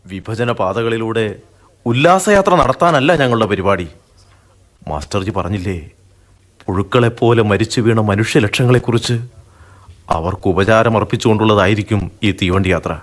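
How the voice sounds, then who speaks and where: Brief: a man voicing a polite, earnest young student, slightly low voice. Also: normal speed, pauses often, natural voice. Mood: respectful. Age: young adult. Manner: respectful, persuasive. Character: earnest young male college student organizer. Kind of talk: radio drama acting.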